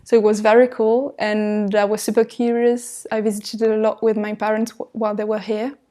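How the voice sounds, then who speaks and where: A woman's voice: mid-range voice, little pitch movement, moderate pace, warm tone. A young woman living away from her parents for the first time, answering a documentary interview earnestly. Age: young adult. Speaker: exchange student.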